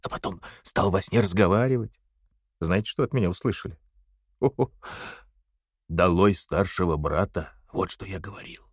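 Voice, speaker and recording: An actor playing a simple, frightened, eager party loyalist in an audio drama, stammering and pleading naively. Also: male voice, low voice, normal speed, pauses often, husky, no room sound, studio quality. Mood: confiding, proud.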